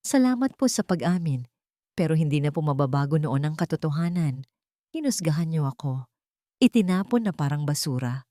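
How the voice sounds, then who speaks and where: A woman's voice: low-pitched, sing-song, a little fast, dark tone, no echo, studio quality. A woman voicing a proud young housemaid who stays firm and polite despite her hurt. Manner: firm, polite but cold.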